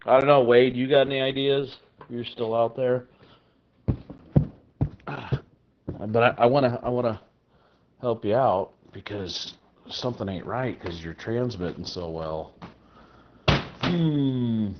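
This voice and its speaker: A man's voice: medium pitch, slow pace, pauses often, noisy background. A man chatting casually as a radio operator, thinking aloud in a hesitant way. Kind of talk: casual two-way radio chat. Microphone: two-way radio app or handset. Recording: muffled, band-limited walkie-talkie audio.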